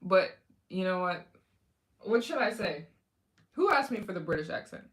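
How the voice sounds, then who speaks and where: A woman's voice: lowish voice, very animated, normal speed. A woman hosting a casual livestream, chatting with viewers, thanking donors and giving motivational talk about taking risks. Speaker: livestream host. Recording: echoey room.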